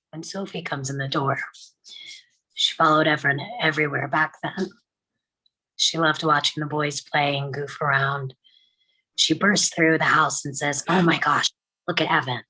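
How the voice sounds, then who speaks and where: A woman playing a grieving mother who recalls her young son, halting and emotional, in a dramatic table read. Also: low-pitched, pushed and bright, exaggerated voice, low-quality recording. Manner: halting, emotional, drops into whispers.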